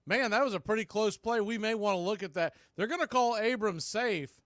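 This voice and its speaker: Male play-by-play announcer, slightly high register, clipped live commentary, very dry studio-close sound. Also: brisk, a bit bright, no echo.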